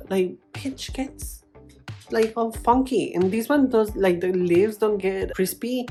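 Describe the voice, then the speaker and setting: A woman hosting a plant vlog, chatting casually to camera in a playfully dramatic, exclamatory way. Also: lowish voice. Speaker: plant vlogger, host.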